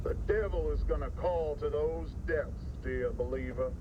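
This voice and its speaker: Man acting as foreboding male preacher, high voice, oratorical scripture sermon, tinny radio filter, clear noise. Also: measured pace. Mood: grave, ominous. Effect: radio broadcast filter.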